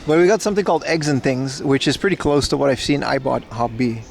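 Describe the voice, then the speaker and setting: A man's voice: medium pitch, quick pace, creaky. A man livestreaming outdoors by a railway platform, chatting casually with viewers and teasing them in mock insults. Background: faint trains and city traffic.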